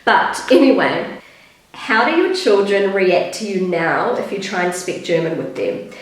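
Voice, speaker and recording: A woman in her thirties with a New Zealand accent, chatting casually in a vlog, her voice croaky with vocal fry and a little out of breath. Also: medium pitch, very animated, unhurried. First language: English. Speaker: vlogger. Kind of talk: casual vlog talk to camera. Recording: very echoey room.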